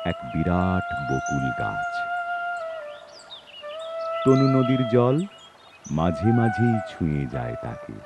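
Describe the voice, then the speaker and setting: A man narrating a scripted children's story with measured, dramatic phrasing. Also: low voice. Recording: dull sound, loud music behind.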